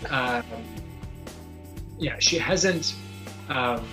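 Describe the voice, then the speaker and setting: Halting man running a game, over music. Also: mid-range voice, bright, forceful tone. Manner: halting, searching for words. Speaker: game master. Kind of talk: running a tabletop superhero game, improvised narration and rules explanation.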